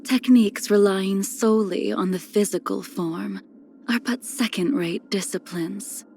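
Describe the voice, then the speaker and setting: Cold ageless game swordswoman, really slow n solemn, breathy endings, some noise behind. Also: medium pitch, darkish tone. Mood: proud, cool. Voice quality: breathy, near-whispered phrase endings. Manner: measured, solemn, archaic formal phrasing. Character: cold, ageless, melancholy swordswoman, a fallen master. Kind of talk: scripted video game character lines.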